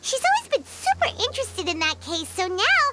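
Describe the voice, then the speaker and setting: A woman voicing a tiny, childlike fairy companion in a video game, chirpy, chatty and excitable. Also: very high voice, fairly quick, exaggerated voice. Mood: curious, chatty. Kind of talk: cartoonish video game voice acting.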